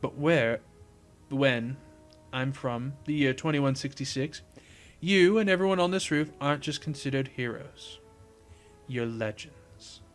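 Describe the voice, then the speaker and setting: A man's voice: medium pitch, measured pace, soft background music, close to an everyday voice. Man putting on a solemn British stranger. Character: solemn British stranger in a trench coat, male.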